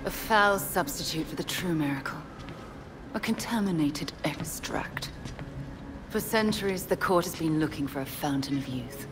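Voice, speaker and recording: A woman playing a poised, menacing League schemer in a video game cutscene, speaking formally and deliberately with cool condescension. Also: low voice, expressive, noisy background. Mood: ominous, solemn.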